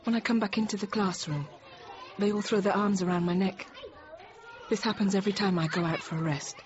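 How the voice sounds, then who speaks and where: An actress playing an anxious, tender thirty-year-old infant teacher, confiding her account in halting, reflective phrases in a radio drama. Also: mid-range voice, steady tone, some chatter behind, close to an everyday voice. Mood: tender, tired. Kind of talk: radio drama monologue, intimate first-person narration. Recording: dull radio sound with faint noise.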